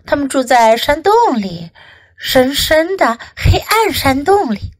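A woman's voice: sits high, big pitch swings, slow, no room sound, heavily acted. A woman voicing an affectionate, bossy storybook mother with a motherly, emphatic way of talking. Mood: affectionate, matter-of-fact.

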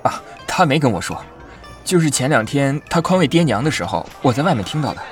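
Casting: well-meaning brother-in-law, costume radio play, male actor, mid-pitched, very varied intonation, slightly hesitant. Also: very animated, a little fast, soft background music, lightly acted. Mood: earnest, hesitant. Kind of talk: Chinese costume audio drama acting.

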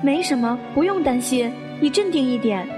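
A woman playing a gentle, reassuring hospital nurse in an audio drama. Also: high-pitched, lively intonation, normal speed, music in the background. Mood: soothing. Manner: gentle, coaxing. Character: young female hospital nurse, soothing and reassuring.

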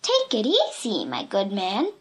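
A woman's voice: mid-range voice, wildly swooping pitch, a little slow, slightly rough, heavily acted. A woman voicing a plucky, cheeky little girl in a storybook, with a mock-innocent, politely breezy manner. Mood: cool, cheeky. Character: plucky, cheeky little red-headed girl, unafraid of bullies.

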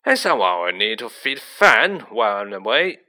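A man voicing a gruff, hot-tempered, loyal giant groundskeeper in a storybook, with a roaring, growling rustic manner. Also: on the low side, very animated, moderate pace, sharp tone, exaggerated voice. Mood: stubborn, loud.

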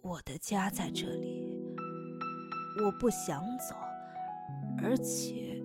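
A woman's voice: medium pitch, expressive, slow pace. A woman playing an earnest, timid, grieving townswoman in a Chinese fantasy radio drama, speaking hesitantly. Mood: sad, resolute. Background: background music.

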